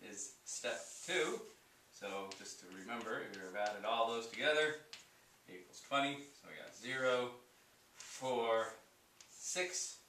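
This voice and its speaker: A man in his forties gives a math lesson at a chalkboard, unhurried and full of wonder. His voice is bright and forward, picked up by a camera some distance away in a roomy, echoing space. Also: medium pitch, a little hiss or hum. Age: 40s. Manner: slow, unhurried, wondering, with fillers. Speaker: teacher. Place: room with a chalkboard, indoors. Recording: echoey room, voice from a distance.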